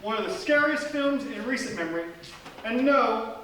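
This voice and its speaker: A man's voice: very high-pitched, lively intonation, normal speed, pushed and bright. A man hosting a live radio theatre show with showy, scripted comic announcing. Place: small theatre stage before an audience. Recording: echoey live theatre, distant, faint noise.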